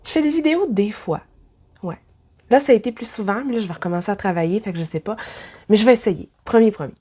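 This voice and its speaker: A woman with a Québécois French accent reviews books to camera, her voice stuffy from a head cold. Her timbre is soft and dark, and she talks in a chatty way with self-deprecating asides. She sounds like she is recording at home. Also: mid-range voice, fast, slightly rough. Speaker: book vlogger. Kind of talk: casual book review vlog.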